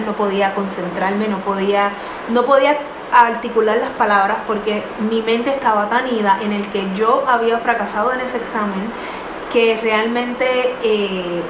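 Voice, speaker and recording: A young woman in her twenties earnestly telling a personal story, with many fillers and restarts. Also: a little high. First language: not English. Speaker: vlogger. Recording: echoey room with noise.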